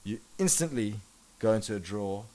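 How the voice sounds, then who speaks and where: A man's voice: fairly low pitch, expressive, normal speed, dark tone, low-quality recording. A man with a South African accent hosting a podcast in casual, swearing chat. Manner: chatty, swears casually, enthusiastic. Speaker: podcast host.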